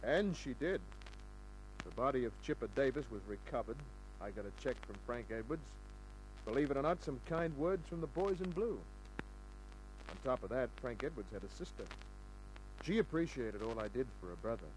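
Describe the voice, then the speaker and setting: A man's voice: mid-range voice, expressive, moderate pace, slight background noise, lightly acted, rough recording. An actor playing a tough private detective who wryly narrates his cases in a 1950s radio drama. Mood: wry narrating. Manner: wry, hard-boiled.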